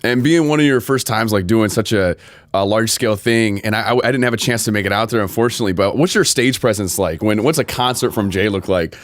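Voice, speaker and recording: A man's voice: fairly low pitch, no echo. A man hosting an interview in a casual chat, upbeat and joking. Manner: upbeat, joking, quick back-and-forth. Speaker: interview host.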